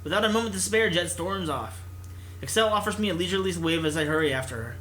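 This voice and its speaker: A man hosting a let's play, reading a visual novel aloud in an expressive narration. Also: high voice, quick pace, slight background noise, low-quality recording. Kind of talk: expressive read-aloud narration with casual asides.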